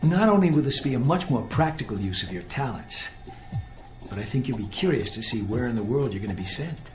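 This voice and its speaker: An actor playing a shrewd, secretive older handler in a video game, measured and knowing. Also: male voice, medium pitch, animated, over music, lightly acted. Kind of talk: scripted video game cutscene acting.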